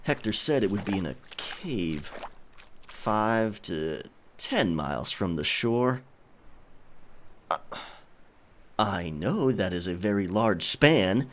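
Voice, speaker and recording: Man playing a slow-talking, low-voiced charming pirate captain. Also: animated, slight background noise. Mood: explaining. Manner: teasing, tender, roguish pirate talk. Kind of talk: romantic audio roleplay spoken to the listener.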